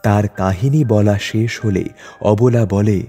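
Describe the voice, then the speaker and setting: Mellow, husky male story narrator. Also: lowish voice, slow pace. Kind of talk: scripted literary story narration. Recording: long reverb over music bed.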